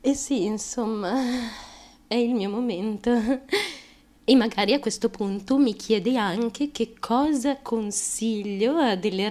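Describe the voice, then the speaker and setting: An actress playing a fame-hungry, fragile and determined young woman in an intense confessional stage monologue, with a deliberate, emphatic and halting delivery. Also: a little high, a little mellow. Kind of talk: intense theatrical monologue, confessional stream of consciousness.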